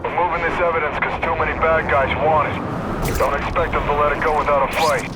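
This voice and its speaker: An actor playing a businesslike, alert police officer giving brisk, matter-of-fact dispatches in a video game. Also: male voice, a little high, level tone, heavy background noise, lightly acted. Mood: alert, commanding.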